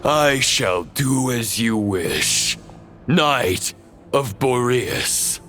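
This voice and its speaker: A man's voice: mid-range voice, lively intonation, very slow, pushed and bright, heavily acted. An actor playing a proud, grave fallen knight in a video game, solemn, theatrical and condescending as he addresses his foe. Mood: menacing. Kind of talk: dramatic video game voice acting. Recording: music behind the voice. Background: dramatic game music.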